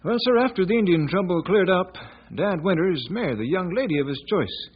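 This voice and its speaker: An actor playing a folksy westerner who tells local history in a deliberate storytelling way, in an old-time radio western. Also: male voice, sits high, expressive, breathy edge. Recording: noisy, dull old radio recording.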